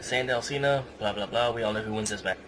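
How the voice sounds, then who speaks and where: A man's voice: fairly low pitch, fast talker, crowd noise. A man hosting a podcast, giving a casual recap of a show with banter. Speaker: podcast co-host.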